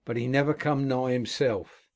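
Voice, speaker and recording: A man voicing a rough old male convict who tells his past in earthy dialect speech. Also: mid-range voice, steady tone, normal speed, darkish tone, lightly acted. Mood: grim recounting. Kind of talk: audiobook character reading.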